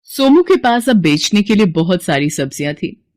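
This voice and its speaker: A woman's voice: mid-range voice, sing-song, moderate pace, darkish tone, dry recording. A woman storyteller reading scripted narration for a bedtime tale.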